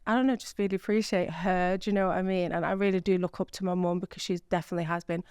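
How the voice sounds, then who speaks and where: A woman's voice: on the low side, steady tone, rapid-fire, darkish tone, smooth, no echo, studio quality. A young woman from Iraq, a guest on a podcast, chatting casually with lots of fillers and "you know what I mean". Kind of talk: casual interview chat.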